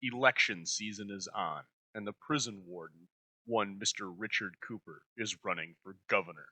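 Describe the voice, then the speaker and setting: Bright, forceful man, low and dry. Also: moderate pace, no echo. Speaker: host introducing an old radio episode. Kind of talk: scripted narration.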